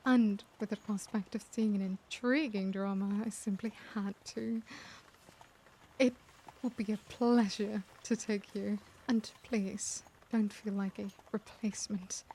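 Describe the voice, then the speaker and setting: A woman voicing an elegant, caring vampire lady in a soft-spoken ASMR roleplay, speaking gently with formal, old-world courtesy. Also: medium pitch, steady tone, unhurried, a little hiss or hum, natural voice, poor audio. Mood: courteous, inviting. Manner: genteel, gentle, doting, formal wording.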